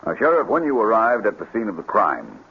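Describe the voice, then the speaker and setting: Courtroom prosecutor: low, formal, precise and probing, muffled vintage radio sound. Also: male voice, wildly swooping pitch, a little fast, gravelly, a little hiss or hum, heavily acted. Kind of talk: 1950s radio drama acting.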